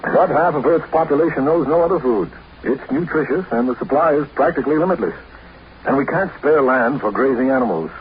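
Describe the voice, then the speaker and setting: An actor playing an earnest, courteous Earth official who explains things formally in a 1950s radio drama. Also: male voice, medium pitch, lively intonation, a little fast, natural voice. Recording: muffled, noisy 1950s radio recording.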